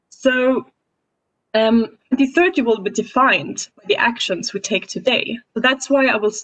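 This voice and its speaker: A woman in her twenties from Austria, giving an earnest webinar presentation over a video call and pausing now and then to find her words. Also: mid-range voice, a bit bright, rough recording. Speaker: youth climate activist presenting. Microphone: computer mic on a video call.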